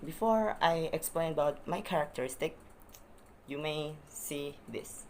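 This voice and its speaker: A man's voice: a little high, a little slow, a little mellow. A young man with an Indonesian accent speaks English as a second language in a casual vlog. He hesitates, fills gaps with "uh," and repeats phrases. A faint hum of noise sits behind his voice. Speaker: vlogger. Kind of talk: casual self-introduction to camera.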